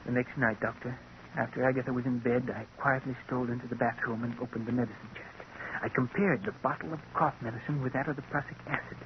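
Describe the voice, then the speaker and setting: A man's voice: mid-range voice, fairly even intonation, quick pace, natural voice. An actor playing a henpecked, scheming middle-aged husband in a vintage radio drama, intense and brooding as he narrates and talks. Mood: brooding, confessional. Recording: muffled, hissy vintage radio recording.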